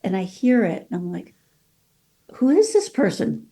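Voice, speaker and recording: Mellow-voiced woman, californian accent, grew up in mexico city, rambles chattily with lots of ums. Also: medium pitch, sing-song, a little slow. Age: 50s. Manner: chatty, many fillers, voices her own past thoughts. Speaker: author, guest. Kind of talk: casual interview talk.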